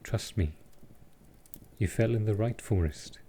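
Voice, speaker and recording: A man playing a gentle, courteous centuries-old vampire in an intimate roleplay audio, soft-spoken and reassuring, with a calming, unhurried delivery. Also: fairly low pitch, slight background noise, close to an everyday voice.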